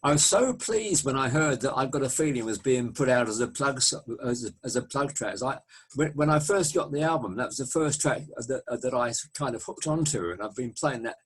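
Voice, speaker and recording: Husky-voiced male radio presenter. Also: mid-range voice, expressive, fairly quick. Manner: agreeable, with frequent short backchannel replies. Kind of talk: casual interview chat.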